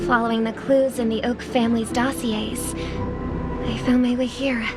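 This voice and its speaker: A woman playing a gentle, refined young singer in a video game, speaking in a measured, formal and earnest way. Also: fairly high pitch, little pitch movement, over loud music, natural voice. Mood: grave. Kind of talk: video game cutscene acting.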